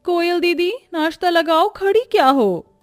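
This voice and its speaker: Cartoon role for a woman: conceited young sister, very high voice, dry sound, scornful and whiny. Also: measured pace, dry recording, faint music behind. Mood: haughty, irritated. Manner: taunting, boastful, whiny. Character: vain, haughty young woman mocking her sister.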